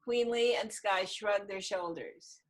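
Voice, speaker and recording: Unhurried woman reading stage directions. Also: mid-range voice. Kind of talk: scripted narration, screenplay table read. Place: online video call reading.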